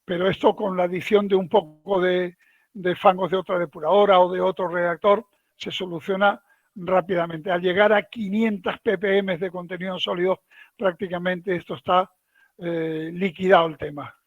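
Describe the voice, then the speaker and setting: A man gives a relaxed, folksy technical talk over a video call. His voice sits fairly high. The audio is dull and lo-fi, with a dry, close sound. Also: moderate pace, no echo. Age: 70s. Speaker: guest lecturer. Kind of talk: online technical lecture.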